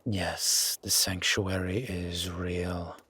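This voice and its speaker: A man playing a gruff, wary but grudgingly kind werewolf in a roleplay audio drama, with a raspy, growling, half-whispered voice and terse, brooding delivery. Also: deep voice, level tone, pushed and bright, full character voice. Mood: calm, confiding. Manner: slow, brooding, terse commands.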